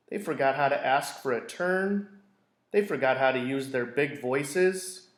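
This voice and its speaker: Guy reading a kids book out loud, expressive storyteller, kinda echoey room. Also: mid-range voice. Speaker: school read-aloud storyteller. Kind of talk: reading a children's picture book aloud. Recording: roomy, echoey indoor sound.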